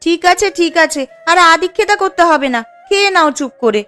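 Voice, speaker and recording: A woman playing a bossy but caring housewife in a cartoon moral story, brisk and quick to nag. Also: extremely high pitch, expressive, bright, forceful tone, soft background music, heavily acted. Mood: piqued. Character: bossy, caring housewife, easily piqued by husband.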